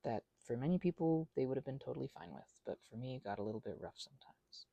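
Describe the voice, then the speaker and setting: Woman, 30s, low and creaky, earnest and halting, intimate ASMR ramble with a self-deprecating streak. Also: animated, warm tone, no echo. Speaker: ASMR channel creator. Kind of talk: rambling confessional ASMR monologue.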